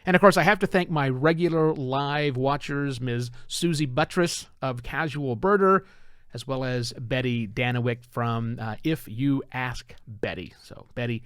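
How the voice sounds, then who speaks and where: A man's voice: mid-range voice, measured pace, a bit bright, smooth, no room sound, studio quality. A middle-aged man hosting a solo podcast, talking conversationally to live viewers with emphatic phrasing. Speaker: podcast host and producer.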